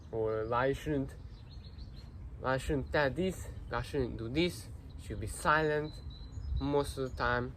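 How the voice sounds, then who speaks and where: A teenage boy with Hungarian as his first language, talking earnestly to camera in a rambling vlog, full of fillers and restarts, recorded without a proper microphone. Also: mid-range voice. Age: teens. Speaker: vlogger. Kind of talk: casual self-reflective talk to camera. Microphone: no microphone, built-in device mic. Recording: noisy built-in mic recording.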